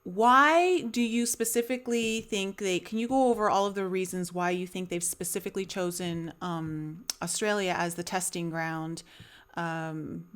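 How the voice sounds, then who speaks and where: A woman's voice: lowish voice, steady tone, normal speed, a bit bright, smooth. A woman hosting a podcast, chatting casually with a guest and rambling with many fillers and restarts. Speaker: podcast host. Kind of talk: casual interview chat.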